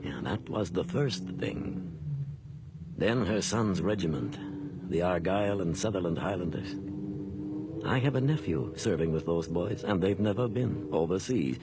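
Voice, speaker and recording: An actor playing a courteous, shrewd and compassionate judge in a radio drama, speaking in a measured, thoughtful way. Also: male voice, low-pitched, lively intonation. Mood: grave, shrewd. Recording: loud background ambience noise.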